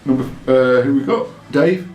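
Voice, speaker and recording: Quizmaster in an echoey room. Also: male voice, mid-range voice, moderate pace, a little mellow. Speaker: quiz master reading questions and judging answers. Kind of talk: live quiz question reading with informal judging. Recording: roomy echo, faint music behind.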